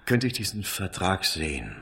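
A man's voice: sing-song, normal speed, pushed and bright, no echo, exaggerated voice. An actor in a 1970s German radio drama playing a desperate, bitter unemployed man who has been cheated. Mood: uneasy, insistent. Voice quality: husky, rough, very low.

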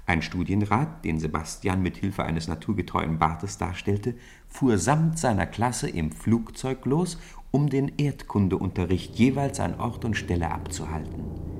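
A man's voice: fairly low pitch, expressive, unhurried, vocal fry, slightly rough, full character voice. A man narrating a children's radio play in scripted storybook style.

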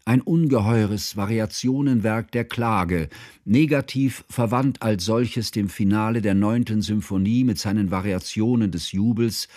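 Male actor as mournful, learned older narrator for literary radio drama: formal, measured, lower-pitched, very dry sound. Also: darkish tone, dry recording, close to an everyday voice. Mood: solemn, reflective. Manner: solemn, measured, ornate literary phrasing.

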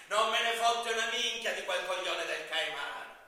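Sneering Sicilian mafia prisoner, echoey and piercing. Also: male voice, fairly high pitch, bright, forceful tone, heavily acted. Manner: sneering, ranting, chanting lists. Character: defiant, foul-mouthed mafia killer in prison, scornful and manic. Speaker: stage actor. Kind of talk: theatrical monologue. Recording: echoey distant stage recording with hiss.

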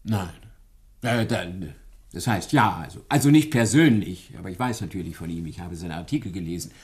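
A man's voice: sits low, normal speed, lightly acted. An actor playing a courteous older professor in a radio play, scholarly and slightly evasive, often repeating his words. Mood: wary, evasive. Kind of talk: German radio play acting.

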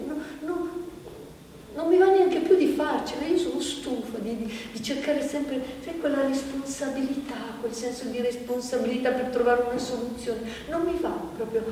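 Actress playing a halting woman in an echoing hall. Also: sits high, mellow, natural voice. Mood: fed up. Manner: halting, repeating herself, thinking aloud. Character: woman performer confiding in her audience, restless and weary. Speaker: stage actor. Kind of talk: theatrical monologue, conversational. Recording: echoey hall from far off, faint noise.

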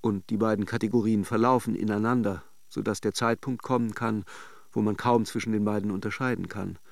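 A melancholic lover in a literary radio drama, reflective and intimate. His low voice has a gravelly creak. He speaks in measured, introspective phrases, tender yet detached. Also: steady tone, no room sound. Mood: reflective, wistful. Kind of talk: literary radio drama, introspective first-person narration and dialogue.

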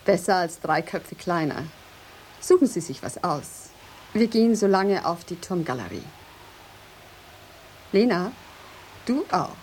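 An actress in a German radio drama playing a haughty, jealous lighthouse mistress, speaking in an imperious, formal and mocking way. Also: female voice, on the low side, sing-song, unhurried, pauses often, darkish tone, slight background noise. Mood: commanding.

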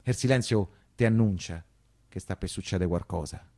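A man's voice: sits low, moderate pace, a little mellow. An actor playing an anguished working-class Roman man who recounts wartime life in a theatrical, colloquial monologue full of insistent repetition. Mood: hushed, ominous. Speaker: stage storyteller and actor. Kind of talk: theatrical first-person monologue for radio theatre.

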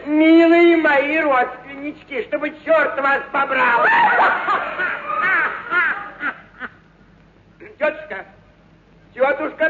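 A very high, slow-paced circus clown, gleeful and boisterous in a radio play. He stretches his exclamations like a showman, in an echoey, noisy recording. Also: sharp tone, highs cut off, heavily acted. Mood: mock-jovial. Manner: exclamatory, drawn-out showman patter. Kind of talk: theatrical radio story acting.

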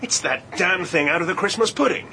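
Bright-voiced, brusque English gentleman. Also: sits high, animated, a little fast, a little hiss or hum, heavily acted. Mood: defensive, irritated. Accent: British. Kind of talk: radio drama acting.

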